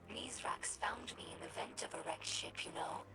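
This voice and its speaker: A woman voicing an earnest, gentle young female alien scribe in a video game, narrating lore in a measured, formal, reflective way with a breathy voice. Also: on the high side, over loud music, lightly acted. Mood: fond, reflective. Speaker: scribe recording her house's history.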